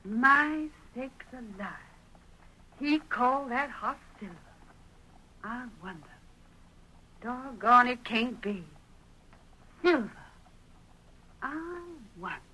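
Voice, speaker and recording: High, bright old frontier woman. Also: expressive, slow pace, long pauses, slightly rough, heavily acted, rough recording. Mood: amazed wondering. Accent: American. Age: older. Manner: folksy, exclamatory. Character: spirited, talkative old frontier woman, plain-spoken and curious. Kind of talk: 1940s radio western acting.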